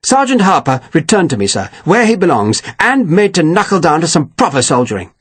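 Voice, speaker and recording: A man's voice: fairly high pitch, sing-song, brisk, fairly bright tone, husky, dry recording, pitched above the performer's own voice, heavily acted. A man voicing a fussy, indignant army captain who complains and keeps saying sir. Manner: prim, complaining, sticks "sir" on everything.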